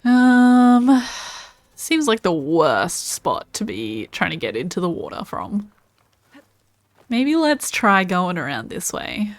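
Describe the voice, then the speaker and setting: A woman's voice: mid-range voice, big pitch swings, takes it slowly, no echo. An Australian woman chatting casually while streaming gameplay and answering viewers' comments.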